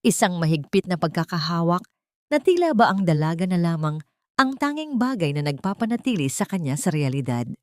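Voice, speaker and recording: A woman's voice: on the low side, sing-song, fairly quick, no echo, studio quality. A woman narrator reading a scripted, dramatic audio story.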